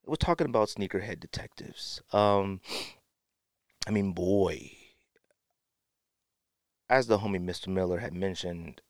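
A man with a fairly low voice, recorded close and dry, hosts a podcast solo. He talks casually and with strong opinions, leaning hard on key phrases and repeating them to drive the point home. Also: measured pace, long pauses, no room sound. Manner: emphatic, repeats phrases for effect. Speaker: podcast host.

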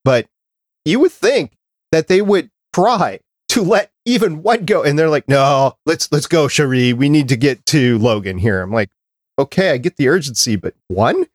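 A man's voice: on the high side, animated, moderate pace, no room sound, studio quality. A man hosting a casual panel discussion, talking conversationally and checking in with questions. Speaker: podcast host.

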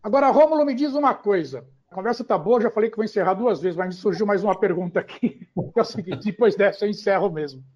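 A man's voice: sits high, quick pace, few pauses, darkish tone. A man hosting a casual podcast interview, asking questions in a friendly, conversational way. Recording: dull lo-fi sound.

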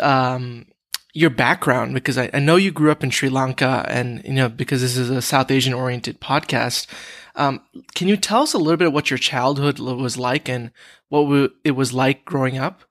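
A man hosting a podcast, reading a scripted introduction and then asking questions in a relaxed, hesitant way. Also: mid-range voice, normal speed. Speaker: podcast host. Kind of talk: conversational podcast interview.

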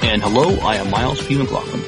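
A man's voice: fairly low pitch, fairly quick, music in the background. A man co-hosting a podcast in casual chat, giving brief, agreeable replies. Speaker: podcast co-host.

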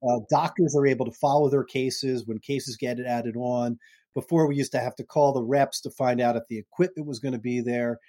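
A man's voice: mid-range voice, fairly even intonation, brisk, warm tone, no room sound. A middle-aged man hosting an interview in loose, casual chat, often restarting his sentences and laughing briefly. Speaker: podcast host.

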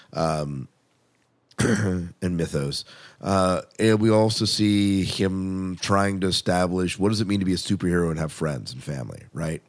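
A man with a fairly deep voice hosts a casual review podcast. He talks in a conversational way, like someone steering a relaxed chat with a co-host.

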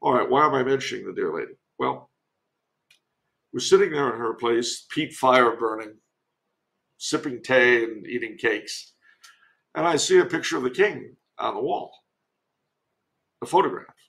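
A man's voice: mid-range voice, expressive, normal speed, vocal fry, pauses often, a bit bright. An older man speaking as a podcast guest in a discursive, emphatic storytelling manner, mixing commentary with anecdotes.

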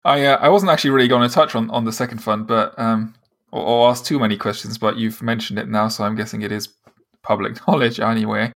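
A man interviewing a guest, asking quick, upbeat questions. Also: on the low side, fairly even intonation, fairly bright tone.

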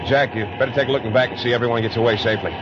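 A man playing a calm, resolute military pilot in distress in 1940s radio drama, giving clipped, commanding orders. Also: mid-range voice, racing, lo-fi sound, close to an everyday voice. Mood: grimly composed. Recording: noisy 1940s radio recording, engine roar.

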